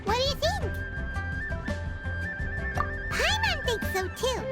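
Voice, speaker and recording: A woman voicing a tiny, curious, chirpy female companion in a video game, with a squeaky childlike voice. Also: sing-song, slow, full character voice. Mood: excited. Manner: speaks of self in third person. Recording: loud game music behind.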